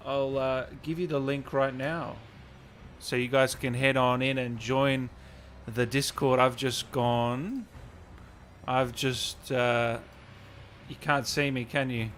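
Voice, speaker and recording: A man's voice: mid-range voice, a bit bright, slight background noise. A man hosting a casual live stream, reading out comments and thanks in an enthusiastic, matey, informal way.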